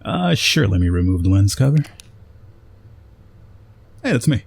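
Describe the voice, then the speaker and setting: A man's voice: lowish voice, very animated, a little slow, vocal fry, breathy edge. A man doing a let's play, reading game dialogue aloud and commenting in a casual, hesitant, conversational way.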